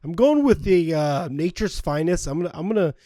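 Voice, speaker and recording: A man co-hosting a podcast in casual, rambling, jokey chat, his voice slightly hoarse from a cold. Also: a little high, animated, brisk, mellow, dry recording, studio quality. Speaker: podcast co-host.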